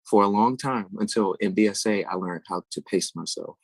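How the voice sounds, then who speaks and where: Low-voiced man explaining singing. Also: normal speed, gravelly, no room sound. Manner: thoughtful, explains vocal technique at length. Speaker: guest singer. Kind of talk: interview answers.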